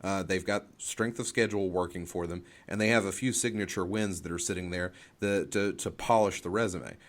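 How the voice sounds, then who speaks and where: A man's voice: deep voice, fairly even intonation, brisk. A man giving off-the-cuff sports analysis in an explanatory way, often restarting and repeating his phrases. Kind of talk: off-the-cuff college basketball analysis.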